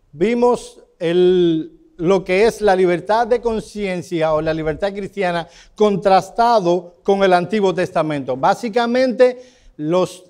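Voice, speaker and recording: A man's voice: high-pitched, a little mellow, smooth. A man with a Cuban Spanish accent teaching a church Bible class, asking his students questions and calling on them by name.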